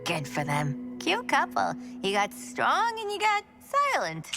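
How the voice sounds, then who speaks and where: A woman's voice: fairly high pitch, huge pitch swings, measured pace, music in the background, full character voice. A woman playing a teasing, unhinged young villain in a video game, with a flippant, sing-song delivery. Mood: playful. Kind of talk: video game cutscene acting.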